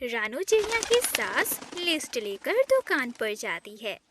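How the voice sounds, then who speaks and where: A woman narrating a cartoon story with expressive storytelling. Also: sits high, unhurried, background noise.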